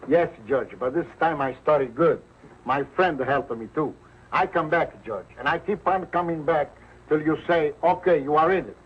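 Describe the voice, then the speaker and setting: An actor playing an eager, earnest immigrant with an Italian accent and broken English, in an early television sitcom. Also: male voice, a little high, normal speed, breathy edge. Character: eager, earnest immigrant man seeking citizenship. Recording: muffled old 1940s broadcast.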